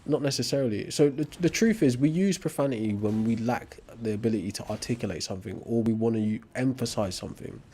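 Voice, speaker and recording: A man hosting a livestream, calmly reasoning and pushing back with skeptical questions and frequent "right?" asides. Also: mid-range voice, fast, hardly any pauses, breathy edge. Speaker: livestream host. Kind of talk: conversational debate.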